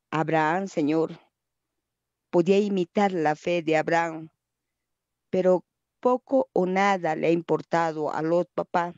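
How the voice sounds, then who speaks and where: Slow, earnest woman praying aloud. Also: lowish voice, no room sound, dull audio. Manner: earnest, pleading, often trails off softly at phrase ends. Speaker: person praying. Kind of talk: spontaneous devotional prayer.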